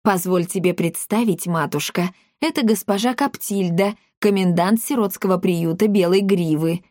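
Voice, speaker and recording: A woman's voice: lowish voice, moderate pace, darkish tone, no echo, studio quality, close to an everyday voice. A woman voicing a giant male gendarme in a storybook, a meek, devoted son who pleads and placates. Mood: proud.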